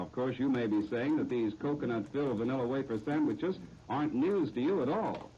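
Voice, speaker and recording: A man's voice: medium pitch, mellow, breathy edge. An American man announcing a sponsored 1930s radio show with genial, folksy, scripted sales patter. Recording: muffled old 1939 radio recording.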